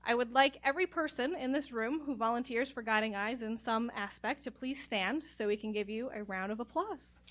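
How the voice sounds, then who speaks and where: A woman's voice: a little high, moderate pace, hardly any pauses, background noise. Woman giving a formal ceremony address. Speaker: regional manager of a puppy program. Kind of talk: prepared ceremony speech. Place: ceremony room.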